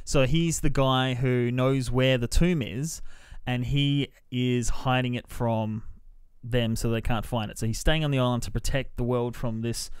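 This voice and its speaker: A man's voice: medium pitch, steady tone, a little slow, smooth, no echo. Clean, dry-sounding man chatting about films. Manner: sweary, mimics characters in quotes. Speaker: podcast host reviewing films. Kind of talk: casual spoiler-filled movie review chat.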